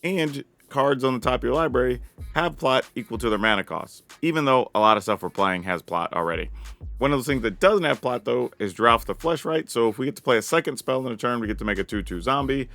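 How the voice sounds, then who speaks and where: Raspy guy hosting a card game video, chatty and upbeat, dry mic w/ some quiet music under it. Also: mid-range voice, animated, a little fast, no echo. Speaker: gaming channel host. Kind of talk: card game deck tech commentary.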